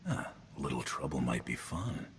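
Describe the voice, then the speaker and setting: Big male mercenary, extremely deep voice, swooping intonation, cocky, teasing, faint ambience. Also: very animated, moderate pace, a little hiss or hum. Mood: flirtatious. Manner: teasing, laconic. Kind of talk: video game voice acting.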